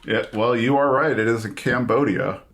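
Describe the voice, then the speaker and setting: A man hosting a trivia game, reading questions out in a measured way and confirming answers. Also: mid-range voice, fairly bright tone. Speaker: quizmaster.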